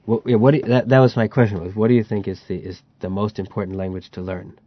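A man's voice: lowish voice, steady tone, quick pace, no room sound, dull audio. A man hosting a podcast, chatting casually and telling stories with a laugh. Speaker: podcast host. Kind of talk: casual chat about learning Chinese.

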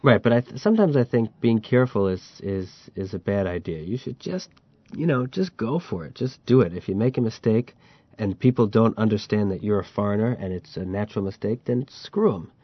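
A man chats casually as a podcast host, spinning anecdotes and laughing as he goes. His voice sits very low and sounds close and dry. The sound is a bit dull. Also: lively intonation, fairly quick, slightly rough, dry recording. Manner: chatty, storytelling, laughs while telling. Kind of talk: casual chat about learning Chinese.

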